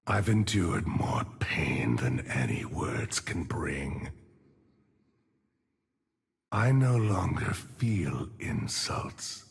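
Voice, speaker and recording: An actor playing a weary, grim old necromancer in a video game, with a gravelly, breathy voice and slow, solemn delivery in long reverb. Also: male voice, rumbling low, very animated, heavily acted. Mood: weary, resigned. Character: weary, grim old male necromancer shepherd of lost souls. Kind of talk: video game character voice lines.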